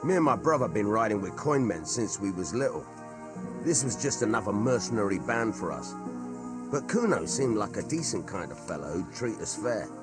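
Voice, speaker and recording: A man playing a rough, plain-spoken veteran mercenary in a video game, with a husky voice and an earthy, colloquial way of talking. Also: on the low side, very animated, moderate pace, over music. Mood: guarded, matter-of-fact. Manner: colloquial, rustic, earthy storytelling. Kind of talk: dramatic video game cutscene dialogue.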